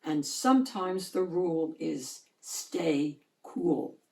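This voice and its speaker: A woman voicing a patient, gently firm female sea-creature teacher in a storybook, measured, instructive and encouraging. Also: deep voice, expressive, gravelly, reverberant, far from the mic, voice pushed down, exaggerated voice. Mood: gently advising.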